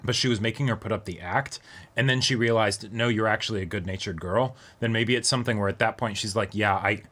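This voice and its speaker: A man's voice: fairly low pitch, quick pace, a bit bright. A man talking casually and unscripted as co-host of an anime reaction discussion. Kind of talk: casual chat, unscripted commentary.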